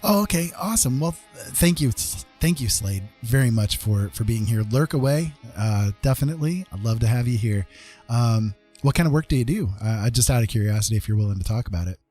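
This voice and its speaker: Guy streaming his art, lowish mellow voice, kinda rambly and halting, guitar music going behind him. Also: lively intonation. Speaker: art livestreamer. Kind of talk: casual livestream chat. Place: indoors at a computer desk. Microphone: desk microphone. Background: guitar rock music.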